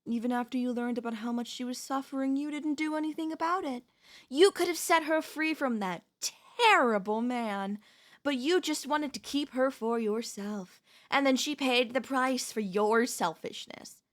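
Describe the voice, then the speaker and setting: A woman playing a possessive, manipulative wife in an ASMR audio roleplay, speaking to the listener in a sweetly condescending, deliberate, theatrical way. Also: high voice, lightly acted. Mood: accusing, condescending. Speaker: voice actress.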